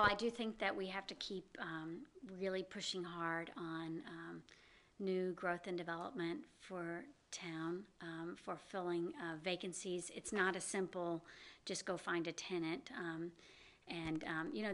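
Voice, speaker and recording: A woman's voice: fairly low pitch, fairly bright tone. A middle-aged woman with a slight Southern US accent, answering interview questions earnestly with many ums and you-knows. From American South. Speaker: executive director of a downtown organization. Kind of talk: interview guest.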